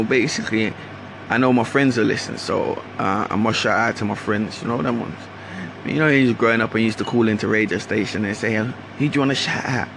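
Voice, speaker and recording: A man's voice: fairly low pitch, gravelly, noisy background. A man from Guyana with a London accent that keeps Caribbean traces, talking casually and rambling as he hosts his own podcast. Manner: rambling, slangy, restarts often. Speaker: podcast host. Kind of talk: casual solo monologue.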